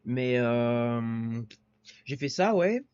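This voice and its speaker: A man's voice: mid-range voice, expressive, very slow, darkish tone, clean tone, lo-fi sound. Laid-back French guy talking slang. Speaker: podcast guest, musician. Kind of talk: casual interview chat.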